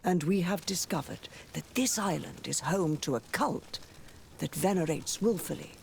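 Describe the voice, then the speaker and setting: An actor playing a dignified, pious high cleric in a video game, speaking formally and solemnly. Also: lively intonation, normal speed, vocal fry, a little mellow, slight background noise. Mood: grave, fervent.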